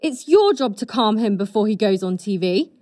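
A woman's voice: mid-range voice, expressive, moderate pace, darkish tone, no room sound, lightly acted. A woman plays a foul-mouthed political staffer in a comedy drama. She is scornful and impatient, with biting sarcasm, recorded very dry. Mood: exasperated, sarcastic. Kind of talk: fast comedy audio drama dialogue.

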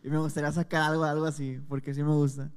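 A man with a Mexican accent, a guest singer answering interview questions in a soft-spoken, measured way with many restarts. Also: on the high side, little pitch movement, clear, resonant tone, long reverb tail.

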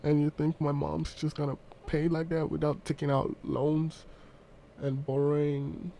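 A man's voice: fairly high pitch, level tone, a little slow, gravelly, mellow, slight background noise. A young man co-hosting a casual chat podcast, joking and teasing in banter. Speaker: podcast co-host.

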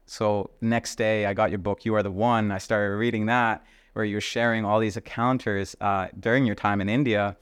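Man, podcast host, earnest, halting, lower pitch, dry sound. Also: steady tone, smooth, dry recording. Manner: earnest, grateful, halting with fillers. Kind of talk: casual interview.

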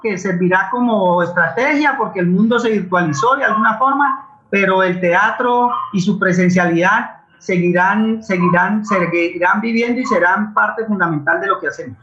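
A Colombian man speaking as a guest in an impassioned conversational interview, halting and repeating words as he searches for them. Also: sits high, pushed and bright. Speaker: guest playwright and actor. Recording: dull lo-fi roomy online call.

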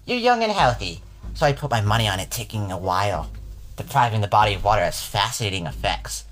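A man's voice: on the low side, a bit bright. A man putting on a gloating voice in the darkness. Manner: mocking, slow, relishing cruelty. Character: sinister bodiless voice taunting a trapped girl. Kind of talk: storybook read-aloud voice.

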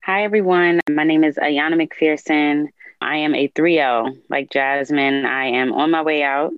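A woman in her twenties from Brooklyn, a law student guest who speaks in a bright, wry way. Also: low voice, fairly even intonation, unhurried, clean tone, no echo. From Brooklyn, New York. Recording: dry, dull lo-fi sound.

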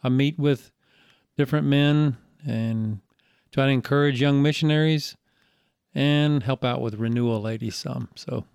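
A man's voice: medium pitch, a little slow, gravelly, darkish tone, clear, resonant tone, no room sound, studio quality. A man in his sixties with a Southern US accent, a church elder talking in an easygoing, folksy, rambling way as an interview guest. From Greenville, South Carolina. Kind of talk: casual interview conversation.

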